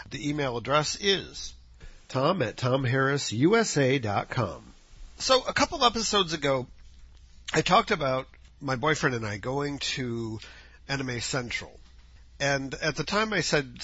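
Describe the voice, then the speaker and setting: A man with a husky, scratchy voice, chatting alone into a home mic, lively in pitch with a bright, forceful tone. He rambles, corrects himself and drifts between topics, recorded dull and lo-fi. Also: no echo. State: scratchy voice from dust. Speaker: podcast host. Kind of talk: casual solo podcast chat. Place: home, outdoor noises faintly heard.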